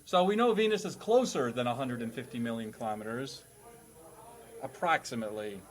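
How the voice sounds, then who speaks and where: A man's voice: mid-range voice, lively intonation, a little fast. A man hosting a comedy panel quiz with playful, mock-formal announcements and banter. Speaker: quiz host.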